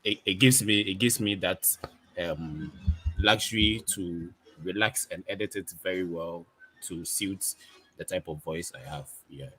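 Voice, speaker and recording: Deep-voiced man on a video call. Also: breathy edge, low-quality recording. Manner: relaxed, halting, many restarts. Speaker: photographer and video creator. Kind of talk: casual live video call chat. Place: home studio with ring light. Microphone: USB desk microphone on video call.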